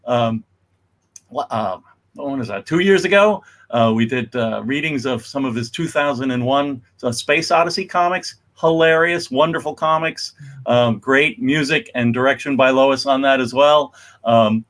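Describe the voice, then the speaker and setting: A man hosting an event with an informal introduction, speaking in a halting, rambling way. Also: mid-range voice, a bit bright. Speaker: host, museum executive director.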